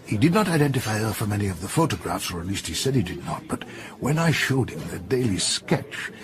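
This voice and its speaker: An actor playing a brisk, courteous French police inspector in an audio drama, official and businesslike. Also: male voice, lowish voice, big pitch swings, a crowd in the background. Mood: confiding.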